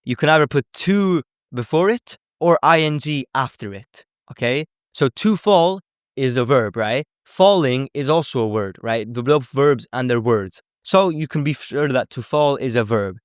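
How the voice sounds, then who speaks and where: Male language teacher, mid-pitched, informal explainer, conversational with frequent check-ins, very dry recording. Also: animated, a little slow, clean tone, dry recording. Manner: conversational, often checks in with okay and right.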